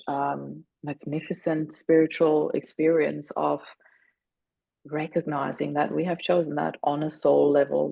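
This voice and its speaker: Lady in her 40s on a podcast, gravelly voice, earnest and reflective. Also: sits low, darkish tone, no echo, poor audio. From Austria. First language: German. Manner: earnest, reflective, emotional retelling. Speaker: podcast guest. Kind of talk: interview storytelling.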